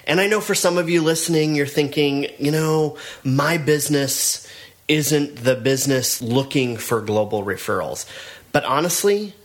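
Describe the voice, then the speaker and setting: A man's voice: on the high side, moderate pace. A middle-aged man co-hosting a podcast, talking in an earnest, encouraging way with frequent restarts. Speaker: podcast co-host, business network trainer. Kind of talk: casual podcast chat.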